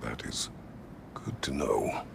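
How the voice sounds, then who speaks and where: An actor playing a stern, battle-worn warrior god in a video game, terse and solemn, with a gravelly voice. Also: male voice, takes it slowly, in a whisper, natural voice. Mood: stern, guarded. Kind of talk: dramatic video game acting.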